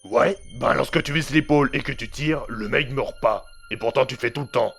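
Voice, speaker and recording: A man playing a cynical, trigger-happy gangster in a French audio drama, crude, slangy and sardonic, with a gravelly voice. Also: mid-range voice, moderate pace, a bit bright. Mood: intense ranting.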